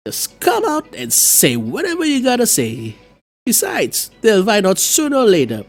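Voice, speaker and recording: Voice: medium pitch, wildly swooping pitch, moderate pace. A reader voicing a brash, playful woman who loves film, teasing and theatrical, in game dialogue. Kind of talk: dramatic reading of game dialogue.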